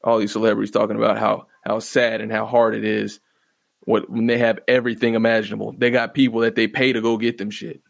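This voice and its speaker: A man hosting his own podcast, rambling in a laid-back, profane way with a fairly monotone voice and lots of fillers. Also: on the low side, fast, vocal fry, dry recording. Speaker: podcast host. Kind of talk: casual solo podcast commentary. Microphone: close podcast microphone.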